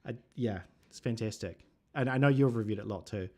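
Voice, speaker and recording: Laid-back New Zealand man, low voice. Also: a little fast. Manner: relaxed, thinking aloud with many fillers, reading viewer comments. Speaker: livestream host. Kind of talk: casual live chat.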